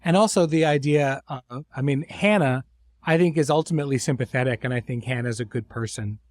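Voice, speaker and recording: A man hosting a conversational interview, speaking thoughtfully in phrases broken by pauses and restarts. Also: medium pitch, darkish tone, dry recording, clean studio sound. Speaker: host, series creator.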